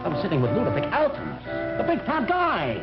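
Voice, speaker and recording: An actor playing a stubborn, excitable investigator in an old radio thriller, with an insistent, emphatic delivery. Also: male voice, high-pitched, quick pace, vocal fry, loud music behind the voice, heavily acted. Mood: agitated. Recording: dull, hissy old radio recording.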